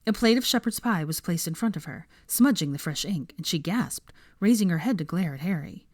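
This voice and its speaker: A woman reads fiction as an audiobook-style narrator. Her voice is a touch low with very varied intonation. The sound is dry and close, with little room in it. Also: animated, brisk, no echo.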